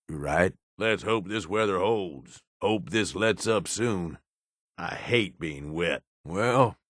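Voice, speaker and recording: An actor playing a cynical, middle-aged raider lieutenant in a video game, with an American accent and a blunt, world-weary, colloquial manner. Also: male voice, deep voice, no room sound, clean studio sound, full character voice. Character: gruff, cynical male raider gang lieutenant in a post-apocalyptic wasteland. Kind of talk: video game character dialogue.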